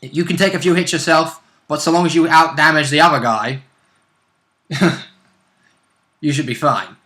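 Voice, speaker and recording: A man's voice: on the high side, moderate pace, bright, forceful tone. A man co-hosting a casual tabletop game chat, explaining rules and characters in an informal, speculative, rambling way. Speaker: co-host and player in a tabletop role-play series.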